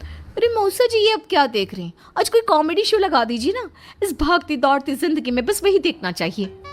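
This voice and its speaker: A squabbling young daughter-in-law, acted by a woman, pitched very high and swooping with indignation. She whines and protests in cartoon storytelling style, with faint music underneath. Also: very animated, normal speed. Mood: insistent. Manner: bickering, whiny protest. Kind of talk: Hindi moral-story cartoon voice acting.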